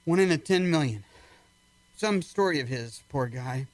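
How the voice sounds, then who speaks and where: A man acting a calm, skeptical military doctor in an amateur radio play. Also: on the high side, moderate pace, dry recording, lightly acted. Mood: musing, curious.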